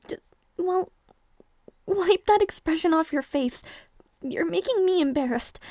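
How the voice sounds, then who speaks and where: A woman's voice: high-pitched, creaky, no room sound, heavily acted. A woman playing a teasing young princess. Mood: playful. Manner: stammering, teasing, drops to soft whispers. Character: young princess, teasing, proud and easily flustered. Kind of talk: audio roleplay.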